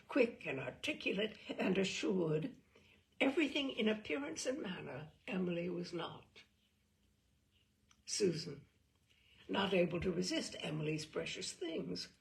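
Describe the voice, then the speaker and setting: A woman reading a short story aloud in an expressive, measured, dramatic storytelling manner. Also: lowish voice, creaky. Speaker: reader of short fiction. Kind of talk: expressive literary narration read aloud.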